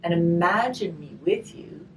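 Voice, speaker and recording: A woman reading a picture book aloud slowly and expressively, pausing to chat and put questions to her listeners. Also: lowish voice. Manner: slow, expressive reading with chatty questions to the audience. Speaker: hospice volunteer reading a picture book to children. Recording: distant mic, faint background noise.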